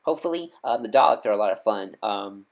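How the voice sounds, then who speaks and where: A man's voice: fairly low pitch, lively intonation, quick pace, vocal fry. A man talking casually as a video host, an enthusiastic fan who rambles with lots of ums and corrects himself mid-sentence.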